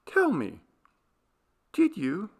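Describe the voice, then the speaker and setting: Man putting on an anxious, high-pitched, pleading woman. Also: wildly swooping pitch, drawn-out words, exaggerated voice. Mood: urgent worry. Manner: urgent, pleading, emotional. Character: anxious, tender woman urgently questioning her beloved. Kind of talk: ghost story audiobook character.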